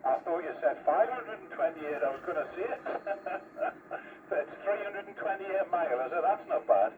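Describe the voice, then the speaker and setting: A man's voice: sits high, expressive, normal speed, a loud crowd. A man in casual radio chat as an amateur CB operator, heard over a two-way radio. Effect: two-way radio transmission. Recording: tinny, noisy CB radio transmission.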